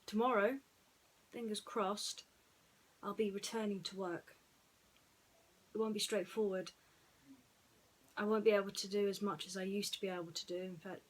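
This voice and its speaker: Musing woman storyteller with mid-range voice. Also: medium pitch. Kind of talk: personal chat and bedtime story reading.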